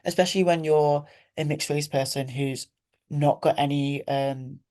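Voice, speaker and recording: Young woman interviewer, deep low voice, easygoing questions. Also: fairly even intonation, a little slow, warm tone. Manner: thoughtful, loosely phrased questions. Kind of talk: casual interview.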